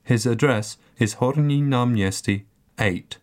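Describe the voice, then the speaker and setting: A man narrating a scripted language course recording, enunciating each word carefully and deliberately. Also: medium pitch, a little mellow. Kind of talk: scripted language-lesson reading.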